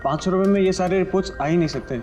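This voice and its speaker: A defensive lab worker played by a man in a TV crime drama, with a fairly high male voice and insistent, protesting delivery. Faint music sits behind the dialogue. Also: racing, darkish tone, close to an everyday voice.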